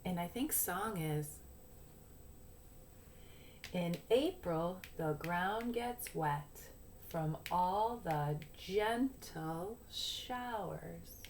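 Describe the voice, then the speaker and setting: Slow-talking woman teaching young children. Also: lowish voice, animated, slight background noise. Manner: simple step-by-step explaining, sounding out phrases deliberately. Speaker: early primary school teacher. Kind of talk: instructional read-aloud lesson for young children.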